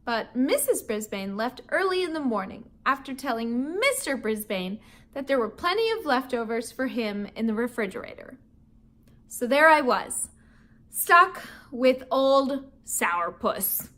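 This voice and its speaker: A woman's voice: fairly high pitch, sing-song, unhurried, fairly bright tone. A woman teacher reading a children's book aloud and teaching vocabulary words, playful and laughing often, with chatty asides to young listeners.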